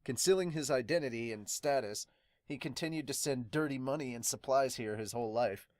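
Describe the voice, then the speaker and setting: A man playing a weary, solemn pirate doctor in a fan manga dub, subdued, measured and earnest. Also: mid-range voice, dry recording, close to an everyday voice. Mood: solemn, reflective. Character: weary, solemn veteran pirate doctor recounting his late captain.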